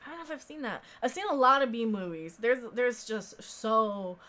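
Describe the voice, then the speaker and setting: American woman streamer in her 30s, kinda high voice, rambly casual chat with viewers, swears a bit. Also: expressive, moderate pace, a bit bright. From central United States. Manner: rambling, informal, sweary, prone to laughing. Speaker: live stream host. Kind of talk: casual live chat, reading and answering viewer comments.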